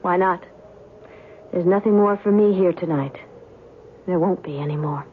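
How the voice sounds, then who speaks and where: A woman's voice: on the low side, normal speed, lightly acted. An actress playing a mysterious, aloof woman with a cool, curt, knowing manner in an old-time radio drama. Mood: curt, dismissive. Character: mysterious, aloof stranger calling herself a reporter, coolly knowing. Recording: tinny, band-limited old radio recording, faint noise.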